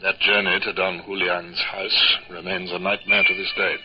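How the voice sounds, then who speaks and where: A man's voice: sits low, level tone, lightly acted. A man playing a formal, resolute naval captain who also narrates, in a 1950s radio drama. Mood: measured narrating. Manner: formal, measured, throat-clearing hesitations. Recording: dull, faintly noisy old radio recording.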